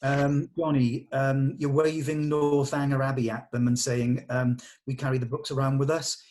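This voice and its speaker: A man leading a script table read as director, giving notes in a measured, diplomatic and explanatory way. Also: mid-range voice, level tone, a little mellow. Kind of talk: giving notes to actors and writer.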